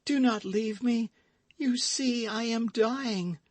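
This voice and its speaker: Guy putting on a dying young woman, high thin voice, weak and breathless, really slow. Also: no room sound, full character voice. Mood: faint, pleading.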